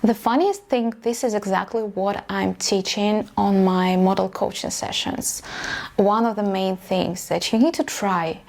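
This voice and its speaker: A woman's voice: medium pitch, darkish tone. A woman in her late thirties whose first language is Russian, sharing her personal story in an earnest, reflective vlog. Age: late 30s. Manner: earnest, reflective, with small grammar slips. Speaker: vlogger and model coach.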